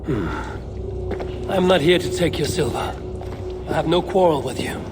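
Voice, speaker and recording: An actor playing a steadfast Norse warrior in a video game, terse, blunt and earnest. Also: male voice, on the high side, animated, moderate pace, lightly acted. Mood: reassuring. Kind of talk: dramatic game cutscene acting. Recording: heavy ambient noise behind.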